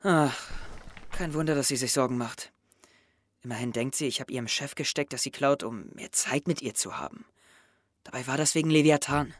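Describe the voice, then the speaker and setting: A man's voice: medium pitch, no echo, lightly acted. A young male actor playing a worried, defensive teenage schoolboy in an audio drama, speaking hesitantly. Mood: worried, musing. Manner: hesitant, halting. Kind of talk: scripted audio drama dialogue. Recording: music under the voice. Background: background music.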